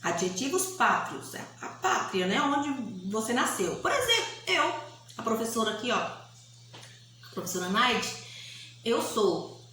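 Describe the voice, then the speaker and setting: A woman with a Bahian Brazilian accent teaches in a slow, deliberate way, repeating her key points for pupils. Her voice sits a little high and rings in a very echoey room with faint noise behind it. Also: big pitch swings, pushed and bright. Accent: Bahian Brazilian Portuguese. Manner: didactic, repeats key points, often checks with tag questions. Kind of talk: recorded grammar lesson for pupils.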